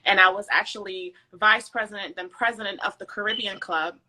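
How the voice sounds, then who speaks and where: A woman's voice: medium pitch, a little fast, pushed and bright, rough recording. A woman from Jamaica with a light Jamaican accent mixed with American, chatting as a guest on a podcast and telling her story with many fillers. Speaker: event planner guest. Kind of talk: casual podcast interview.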